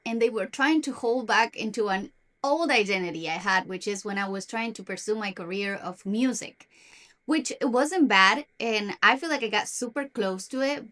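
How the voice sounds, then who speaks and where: A young woman from Argentina, a native Spanish speaker, confides in her viewers in a self-help vlog. Her voice sits a bit high and her delivery is earnest, with the odd restart as she works through her thoughts. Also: fairly bright tone. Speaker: self-development vlogger. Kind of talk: personal talk to camera.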